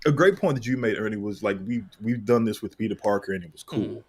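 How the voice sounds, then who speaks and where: A man's voice: fairly low pitch, fast. A man in his thirties chatting casually as a panelist, with a wry manner. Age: 30s. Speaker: panelist on a comics discussion show. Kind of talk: casual chat.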